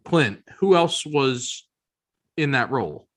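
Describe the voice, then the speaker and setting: A man's voice: mid-range voice, animated, slow. Casual male podcast co-host, informal and opinionated. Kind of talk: casual chat.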